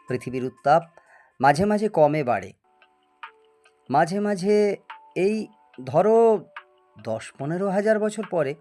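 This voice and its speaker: A man acting a grandiose, animated scientist uncle. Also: fairly high pitch. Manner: grandiose, lecturing, teasing. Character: boastful, eccentric older male scientist, an uncle. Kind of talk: dramatised audio story acting.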